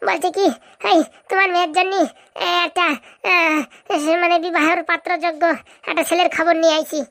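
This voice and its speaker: Young village matchmaker guy, cartoon, squeaky high, fast chatty patter. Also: little pitch movement, bright, forceful tone, heavily acted. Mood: eager. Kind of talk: comic cartoon dialogue.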